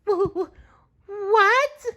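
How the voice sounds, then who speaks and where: A man voicing an excitable, earnest cartoon sponge fry cook in a squeaky, exaggerated storybook voice. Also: very slow, heavily acted. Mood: distraught.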